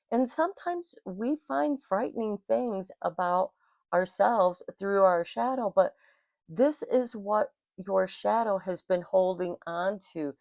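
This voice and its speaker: An American woman giving an intuitive tarot reading in a calm spiritual manner, her throat rough and scratchy from smoke. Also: on the low side, no room sound. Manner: slow, soothing, spiritual. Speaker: tarot reader.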